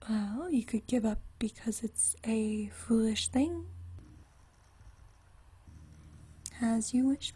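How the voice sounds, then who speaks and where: A woman playing an ageless, genteel female vampire in an ASMR roleplay, soft and breathy, teasing her friend with languid, dry wit. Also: on the high side. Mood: mock exasperated. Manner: teasing, dryly witty, languid, genteel turns of phrase. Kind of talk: ASMR roleplay, intimate one-sided conversation.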